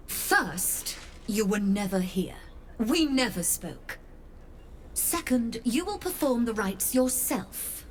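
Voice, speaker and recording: Game role: imperious occult merchant, shrewd and haughty, unhurried, highly varied intonation. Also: female voice, slight background noise. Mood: businesslike, secretive. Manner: measured, theatrical, haughty. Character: shrewd, imperious infernal merchant woman, latchkeeper of Hells. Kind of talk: video game character acting.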